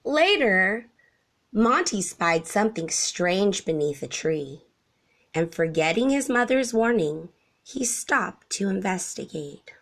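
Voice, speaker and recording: Slow, expressive woman reading a storybook. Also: medium pitch. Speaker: storybook reader. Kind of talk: expressive children's read-aloud narration.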